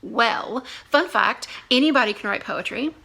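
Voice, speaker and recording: A woman English teacher giving a video lesson to young students in an animated, conversational way with emphatic repetition. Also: fairly high pitch, measured pace, vocal fry, slightly rough.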